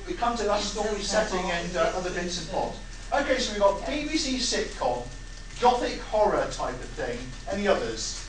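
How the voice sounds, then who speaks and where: A man's voice: high-pitched, lively intonation, normal speed. A man leading an informal audience brainstorming session as host, joking, exasperated and mildly sweary. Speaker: panel host. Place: echoey convention room. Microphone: far from the mic. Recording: echoey room, far mic, noisy.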